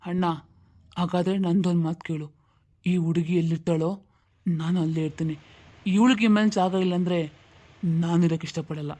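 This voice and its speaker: Woman voicing an earnest, mellow-toned pleading younger brother. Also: on the low side, steady tone, normal speed, pauses often, lightly acted. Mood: defiant. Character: earnest, compassionate younger brother pleading for his half-sister. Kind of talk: dramatic cartoon story dialogue.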